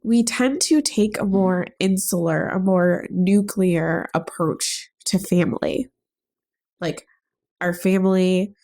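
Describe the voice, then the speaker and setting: Young woman, podcast host with a stuffed-up head cold, mellow voice, earnest and gentle. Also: fairly low pitch. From United States. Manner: earnest, gentle, thinking aloud. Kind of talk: reflective solo podcast talk.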